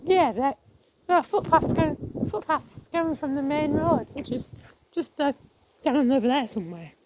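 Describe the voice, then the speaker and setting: A woman with a croaky voice walking outdoors, chatting casually to her viewers and reading signs aloud with many restarts. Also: sits high, expressive, noisy background. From England. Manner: rambling, restarts words, reads signs aloud haltingly. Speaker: vlogger. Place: outdoors on a viaduct.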